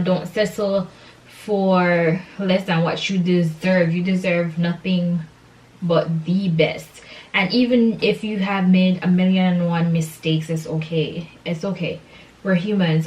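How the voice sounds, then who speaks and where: A young adult woman from Jamaica chatting casually and motivationally to her viewers, emphatic and repeating key phrases for stress. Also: on the low side. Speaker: vlogger addressing subscribers. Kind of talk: casual motivational chat to camera. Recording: distant microphone.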